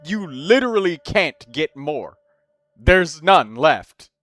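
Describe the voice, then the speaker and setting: Excitable man chatting on a gaming stream. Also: on the high side, slow, no echo. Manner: excitable, swears, bursts into loud shouts. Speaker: streamer host. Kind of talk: casual gaming chat with friends.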